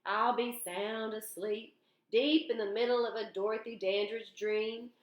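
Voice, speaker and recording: A woman's voice: on the high side, fairly even intonation, unhurried, roomy sound, natural voice. A woman voicing a kindly older man who teases a boy, telling his worries with easy humor. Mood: teasing. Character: older male driver, kindly teasing man.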